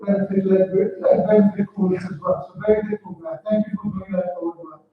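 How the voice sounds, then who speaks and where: High-voiced male council chair who stumbles over his words, in a distant, echoey room. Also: steady tone, a little fast. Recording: echoey chamber, distant phone-like mic.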